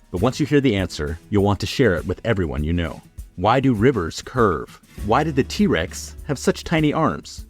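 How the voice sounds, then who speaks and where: A man reading a scripted podcast advertisement as its announcer. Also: on the low side. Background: music under the voice.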